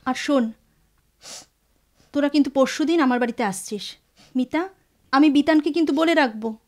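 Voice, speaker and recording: A woman's voice: sits high, normal speed, darkish tone, close to an everyday voice. An actress playing a caring, anxious friend and devoted mother in an audio drama, speaking in a tender, earnest, coaxing way. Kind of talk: Bengali audio drama acting. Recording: music behind the voice. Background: music.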